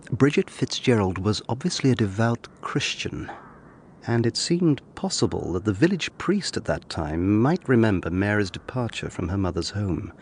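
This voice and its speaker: An actor playing an earnest young gentleman lawyer of the 1720s, formal and measured, narrating and acting in a period audio drama. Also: low voice, a little hiss or hum.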